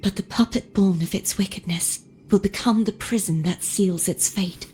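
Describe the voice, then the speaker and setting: A woman playing a gentle, resigned, self-sacrificing young woman in a video game, speaking softly and breathily with solemn, wistful deliberation. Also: medium pitch, normal speed, slight background noise, natural voice. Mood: sorrowful, at peace. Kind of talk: dramatic video game voice acting.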